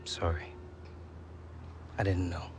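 An actor playing an earnest, driven young footballer in a video game, with a British accent and casual delivery. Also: male voice, low-pitched, flat delivery, slow, background noise. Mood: troubled. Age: young adult. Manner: casual, informal.

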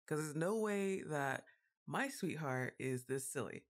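A woman playing a goth giantess witch girlfriend, exasperated and fondly bossy, with a drawn-out teasing delivery in an intimate ASMR roleplay. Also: sits low, lively intonation. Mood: exasperated, worried. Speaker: audio roleplay performer.

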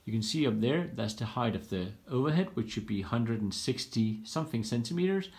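A man's voice: medium pitch, expressive, fairly quick, darkish tone. A man giving a frank, self-deprecating walkthrough of his home build, filming on a handheld phone in a home workshop room. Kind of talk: casual walkthrough vlog. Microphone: handheld phone camera.

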